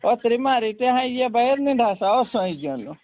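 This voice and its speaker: A man with a creaky voice talking casually on a phone call in a rambling, repetitive way. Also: very high voice, lively intonation, normal speed, no room sound. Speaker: prank call participant. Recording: narrow phone line with faint noise.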